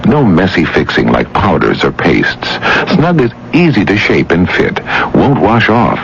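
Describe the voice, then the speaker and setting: A man's voice: sits low, wildly swooping pitch, measured pace, gravelly, fairly bright tone. A man announcing a scripted radio advertisement as a commercial announcer. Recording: dull, noisy old radio broadcast.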